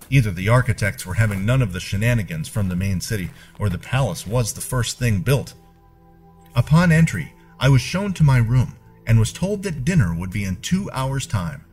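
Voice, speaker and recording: A man's voice: mid-range voice, a little mellow. A man narrating a first-person story from a script, with formal, genteel storyteller phrasing. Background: music.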